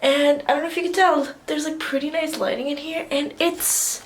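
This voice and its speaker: Chatty teen girl, slightly high voice. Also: fairly even intonation, moderate pace, noisy background. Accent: Armenian Russian. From southern California. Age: teens. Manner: chatty, bubbly, lots of fillers. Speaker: vlogger. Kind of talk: casual plant tour vlog. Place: apartment room and bathroom, indoors.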